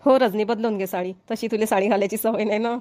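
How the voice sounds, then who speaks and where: A woman's voice: medium pitch, fairly even intonation, quick pace, darkish tone, natural voice. A woman voicing a kindly, grateful elderly village mother-in-law with a Varhadi Marathi accent in an amateur cartoon comedy. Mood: fond, grateful.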